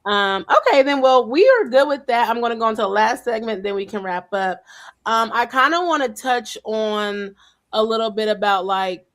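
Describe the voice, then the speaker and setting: A woman hosting a casual group conversation, telling stories in a chatty way and acting out the dialogue, with relaxed Southern-leaning grammar. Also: a little high, moderate pace. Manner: chatty storyteller, acts out dialogue. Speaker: host.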